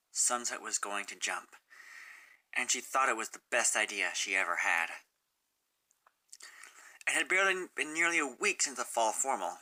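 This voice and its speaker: Bright, forceful man narrating a story. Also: medium pitch, rough recording. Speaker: fan fiction narrator. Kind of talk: scripted narration of a sad story.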